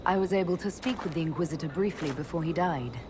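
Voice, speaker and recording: Low-voiced, assured leader , played by a woman. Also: noisy background, lightly acted. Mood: calm, firm. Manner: measured, matter-of-fact. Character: calm, assured female leader reporting a discovery. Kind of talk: video game dialogue.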